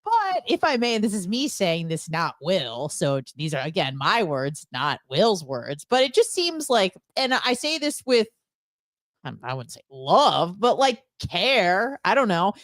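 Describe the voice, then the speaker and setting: A woman's voice: medium pitch, big pitch swings, a little slow, dry recording. A woman co-hosting a radio show, chatting casually in a blunt, playful way. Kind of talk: casual, opinionated chat.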